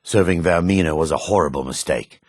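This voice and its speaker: An actor playing a gentle, pious and remorseful dark elf priest as a video game companion, speaking in a measured, earnest, formal way. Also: male voice, low-pitched, dry recording, studio quality.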